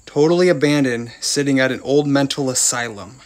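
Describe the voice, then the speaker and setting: Excited man exploring abandoned places. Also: medium pitch, fairly quick. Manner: excited, keeps addressing viewers as "guys". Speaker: urban explorer vlogger. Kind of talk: walkthrough narration while exploring. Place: abandoned buildings and old vehicles, indoors and out.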